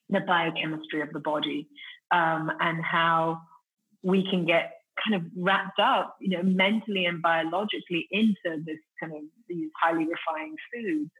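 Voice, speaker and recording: A woman's voice: lowish voice, breathy edge, narrow, tinny audio. A middle-aged woman from Italy, raised in the UK, explaining things articulately as an interview guest. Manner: articulate, explanatory, with frequent "you know" and restarts. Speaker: interview guest, company founder. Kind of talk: interview answers.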